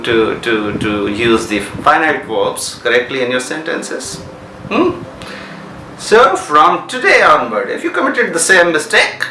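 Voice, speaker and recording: Animated Pakistani English teacher, echoey room. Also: male voice, fairly high pitch, recorded from a distance, a little hiss or hum. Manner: repetitive, checks understanding with questions. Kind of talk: classroom grammar lecture.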